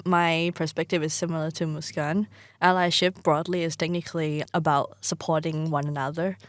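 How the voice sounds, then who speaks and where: A young woman from Thailand talks casually as a podcast guest, full of fillers. Her voice is low for a woman. The sound is dry and close. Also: dry recording. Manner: chatty, many fillers, laughs. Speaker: guest, graphic designer and student. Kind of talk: casual interview chat.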